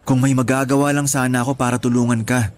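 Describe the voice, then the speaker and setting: An actor with a Filipino accent playing a concerned, reasonable young boyfriend who speaks gently in a radio drama. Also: male voice, mid-range voice, little pitch movement, fast talker, close to an everyday voice. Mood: sympathetic. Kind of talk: Tagalog radio drama acting.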